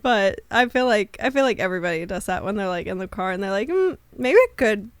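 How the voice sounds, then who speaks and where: A woman's voice: mid-range voice, lively intonation, a little fast, dry recording. A woman in her twenties co-hosting a casual podcast, chatting loosely with lots of fillers and frequent laughter. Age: 20s. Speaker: podcast co-host. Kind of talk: casual chat. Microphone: studio mic with headphones.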